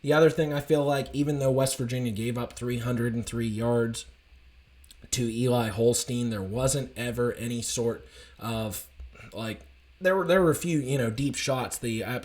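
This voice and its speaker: A man with a slightly raspy, hoarse voice, giving an enthusiastic, rambling casual recap of a college football game. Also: medium pitch, normal speed, darkish tone. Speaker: college football fan commentator.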